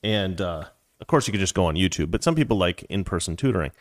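A man's voice: low-pitched, expressive, fast talker, no echo, studio quality. A man hosting a casual commentary show, rambling and opinionated with a joking edge. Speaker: show host.

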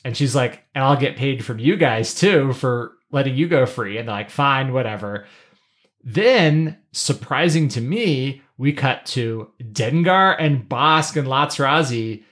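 A man with a mid-pitched voice co-hosts a casual recap podcast. He tells the story in animated, slangy language and slips into paraphrased lines of dialogue. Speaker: podcast co-host.

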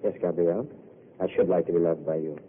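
A weary, courtly wanderer with a wry, gentle way of speaking, played by an actor in a 1940s radio drama. His voice is low and his words come quickly. The sound is tinny, like an old broadcast. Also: fairly even intonation, slight background noise, close to an everyday voice. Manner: courtly, soft-spoken, rueful.

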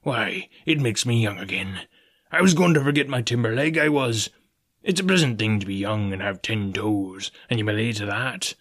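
A male narrator plays an old one-legged pirate from an adventure storybook. He speaks in salty, folksy sailor dialect at a slightly low pitch. His manner is genial on the surface, coaxing and sly. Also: animated, moderate pace, fairly bright tone. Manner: folksy nautical dialect, ingratiating.

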